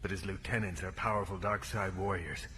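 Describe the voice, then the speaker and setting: An actor playing an anguished, proud fallen Dark Jedi in a melodramatic audio drama, intense and dramatic in delivery. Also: male voice, low-pitched, fairly even intonation, a little fast, slight background noise. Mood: shaken, grave. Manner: intense, dramatic outbursts and broken phrases.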